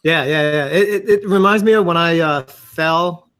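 A man with a somewhat high voice trades jokes and anecdotes. He talks in an animated, wisecracking way, with a sarcastic edge. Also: normal speed, clean tone. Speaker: podcast co-host. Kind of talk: casual comedic chat.

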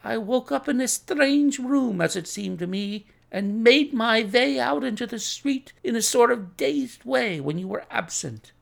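An apologetic old Russian gentleman, acted out by a man reading a story, courteous and formal. His pitch rides high and swings widely as he explains himself slowly. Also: lively intonation, gravelly, heavily acted.

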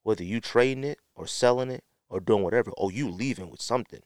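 A man's voice: lowish voice, fast, no room sound, studio quality. An American man hosting a podcast, telling stories casually in slangy speech and acting out what people said. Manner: animated storyteller acting out quotes, slangy. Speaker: podcast host. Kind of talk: casual storytelling podcast talk.

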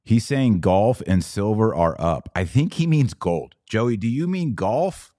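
A man from Texas with a very low voice, recorded close and dry. He talks like a podcast host on a live stream, casual and folksy, pressing his points with repetition as he responds to comments. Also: animated, unhurried, dark tone, no room sound.

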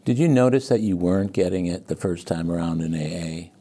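A man hosting an interview, asking calm, probing questions. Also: low-pitched, a little fast. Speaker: interview host.

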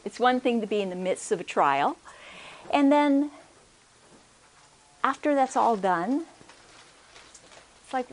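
A soft-spoken woman giving a conference workshop talk into a microphone, hesitant, with many restarts and check-ins with the audience. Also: a little high, animated, slow pace, long pauses. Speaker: workshop speaker. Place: conference room. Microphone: handheld or stand microphone.

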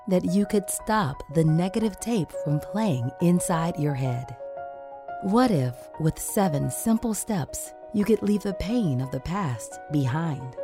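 A woman with a somewhat low voice reads a scripted self-help promotion. Her delivery is slow, soothing and persuasive, with music clearly playing underneath her. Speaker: ad announcer. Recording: music bed behind the voice.

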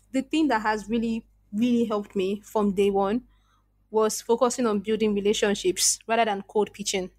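A Nigerian woman speaks as a podcast guest, her voice sitting a little higher than most. She tells her story earnestly and reflectively, often restarting her sentences. The recording is dry and close, with no room sound. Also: no echo. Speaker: podcast guest, freelance copywriter. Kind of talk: casual interview conversation.

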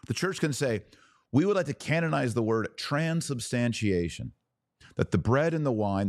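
Deep-voiced man preaching emphatically on a podcast. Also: darkish tone, no echo, studio quality. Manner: emphatic, preachy, short punchy repeated phrases; rhetorical questions to listeners, acting out imagined quotes. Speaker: podcast host and religious commentator. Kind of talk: impassioned religious commentary to a live audience.

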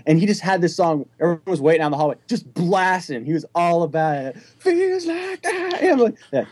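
A young man hosting a casual music chat, telling loose, animated stories and swearing freely. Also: high voice, brisk, husky, dry recording. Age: young adult. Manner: animated, loose and profane storytelling.

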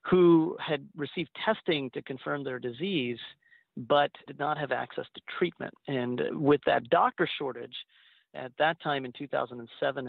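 Man, 30s, from Liberia, physician guest; gravelly, mid-pitched, measured storyteller; dry phone-line sound. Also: no echo. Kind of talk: interview answers.

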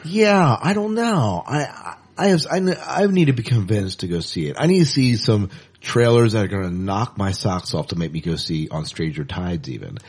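A man co-hosting a podcast, chatting casually and in an animated way about movie news. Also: fairly low pitch, normal speed, breathy edge. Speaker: podcast co-host.

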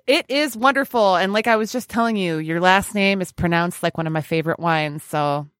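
A woman's voice: lowish voice, brisk, fairly bright tone, no echo, clean studio sound. A woman hosting a podcast interview in a casual, playful, gushing way with informal banter. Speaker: podcast host.

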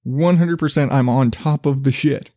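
Male podcast host, low mellow voice, casual profane rambler, dry booth sound. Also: moderate pace, dry recording. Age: not a millennial. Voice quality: relatively low voice. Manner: loose, profane, self-deprecating with many restarts.

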